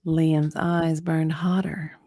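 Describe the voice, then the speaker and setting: A woman narrating a dramatic romance reading in a breathy way, often dropping to a whisper. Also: low-pitched, little pitch movement, takes it slowly, mellow, no echo, clean studio sound.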